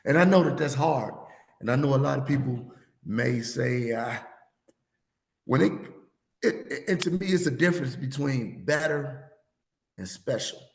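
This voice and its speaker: Guy hosting a sports podcast, losing his voice, gravelly and rambly, echoey room. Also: mid-range voice, measured pace, pauses often, slight background noise. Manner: rambling, animated storytelling. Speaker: podcast host. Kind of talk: casual sports chat.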